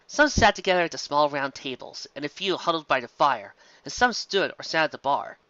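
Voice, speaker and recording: A man reading fantasy fiction aloud as an amateur storyteller, expressive, occasionally stumbling over words. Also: fairly high pitch, brisk, no room sound, lo-fi sound.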